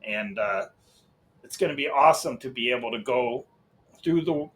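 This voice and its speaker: A man's voice: mid-range voice, normal speed, fairly bright tone. Middle-aged American man, rambling and casual. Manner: rambling, hesitant, often breaking off and repeating himself. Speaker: host of an old-time radio channel. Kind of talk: casual unscripted channel update.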